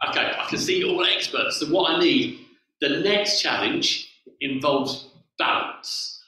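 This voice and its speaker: A man's voice: fairly high pitch, lively intonation, measured pace, pushed and bright. A man in his forties, a vicar giving a playful all-age sermon and directing his congregation through games. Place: church hall with a lectern. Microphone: far camera on the floor. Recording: echoey church from far off.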